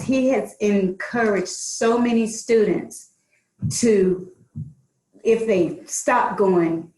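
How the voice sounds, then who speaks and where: A woman's voice: mid-range voice, reverberant, poor audio. A woman introducing her adult education students on a video call, proud and encouraging. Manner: warm, proud, slow-paced encouragement. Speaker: adult education program coordinator.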